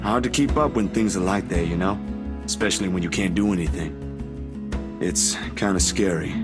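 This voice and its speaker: A man's voice: deep voice, moderate pace, close to an everyday voice. Man as a hesitant, kindly ordinary guy over music. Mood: weary, troubled. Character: weary, gentle ordinary man, a troubled photographer. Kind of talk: video game character dialogue. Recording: music behind.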